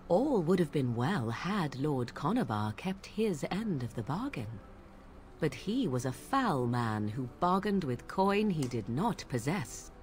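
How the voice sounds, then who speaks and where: An aloof young witch of the wilds in a fantasy game, with a slightly low voice that moves freely up and down. She speaks in formal, archaic phrases with sardonic poise, over faint noise. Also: darkish tone, full character voice. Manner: archaic, formal, measured storyteller's diction. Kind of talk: dramatic video game voice acting.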